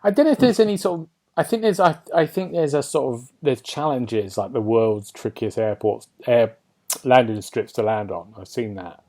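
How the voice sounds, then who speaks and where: A man's voice: medium pitch, normal speed, mellow. A British man hosting a podcast, chatting casually about video games and often turning statements into questions. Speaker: podcast host.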